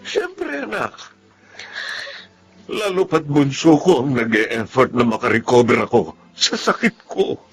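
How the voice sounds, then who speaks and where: A man's voice: mid-range voice, sing-song, a little slow, long pauses, husky, faint music behind. An actor playing a stern, hurt, ailing father in a Tagalog radio drama, speaking in formal, reproachful phrases. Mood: tender, plaintive. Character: aggrieved, stern ailing father of grown children.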